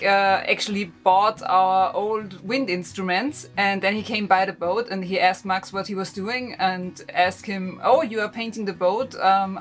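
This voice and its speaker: A young woman, a sailing vlogger, chatting to camera about a boat project and prompting her partner, seated in a wooden boat cabin. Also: mid-range voice. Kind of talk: casual explanation to camera.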